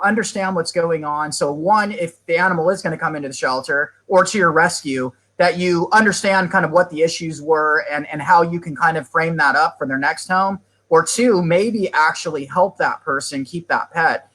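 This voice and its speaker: A man's voice: a little high, sharp tone, rough recording. A man who has worked in animal shelters for years, answering interview questions in an earnest, explanatory way and now and then addressing people as "man". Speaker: animal shelter expert guest.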